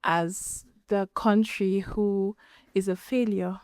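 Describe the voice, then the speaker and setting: A Nigerian woman on a studio panel builds her point in short, earnest phrases. Her voice sits at a middle pitch, and the sound is dry and close. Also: fairly even intonation, slow pace, darkish tone, clear, resonant tone, dry recording. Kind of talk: panel discussion.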